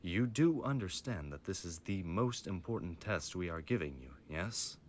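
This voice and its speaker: A man's voice: deep voice, expressive, moderate pace, a little hiss or hum. A man playing a stern, menacing mafia boss father with a formal, commanding manner in an audio roleplay. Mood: cold, threatening. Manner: formal, commanding, coldly threatening.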